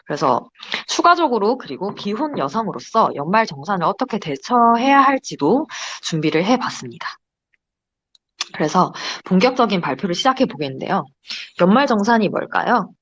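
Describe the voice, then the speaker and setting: Lively young woman presenting. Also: medium pitch, normal speed, breathy edge. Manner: chatty, giggles between points. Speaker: presenter at a study group. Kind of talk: casual slide presentation.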